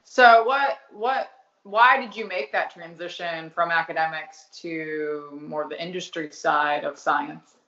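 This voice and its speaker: Bright-voiced woman host in an echoey room. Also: low-pitched, measured pace. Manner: friendly, affirming with short backchannels. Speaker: interviewer, host. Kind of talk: casual interview questions. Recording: echoey, slightly dull.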